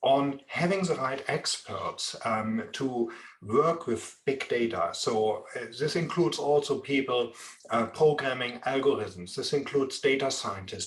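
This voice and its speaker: A man from Germany speaks with a strong German accent, laying out his points slowly with many ums. His voice sits at an average pitch. The room around him gives a noticeable echo. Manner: measured, explanatory, frequent ums. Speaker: interviewee, procurement expert. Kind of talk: expert interview. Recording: roomy echo.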